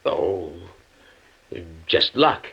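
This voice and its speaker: An actor playing a stuffy, hesitant, principled professor with precise formal speech in a 1940s radio drama. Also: male voice, low-pitched, big pitch swings, extremely slow, bright, forceful tone, slightly rough, exaggerated voice. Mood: wary, quiet. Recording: muffled, noisy old radio recording.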